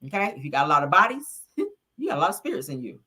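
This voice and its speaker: Bright-voiced outspoken woman host. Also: low voice, sing-song, fast, slightly rough. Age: 30s. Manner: blunt, preachy, talks to chat. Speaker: live show host. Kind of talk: casual live-stream commentary.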